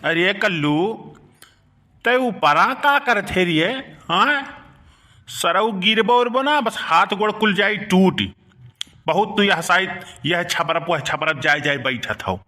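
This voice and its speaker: A man's voice: high-pitched, lively intonation, slow, sharp tone, exaggerated voice. A man playing a middle-aged village uncle in a comic cartoon, grumbling and rustic, with an Awadhi accent. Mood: scolding, exasperated. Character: scolding village uncle, easily blackmailed, henpecked and wheedling.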